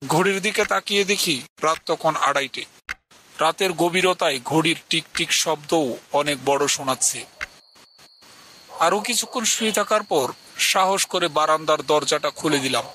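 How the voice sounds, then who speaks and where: A man's voice: fairly high pitch, moderate pace, bright, forceful tone, a little hiss or hum, low-quality recording. A man narrating a scripted first-person horror story in a dramatic, suspenseful way.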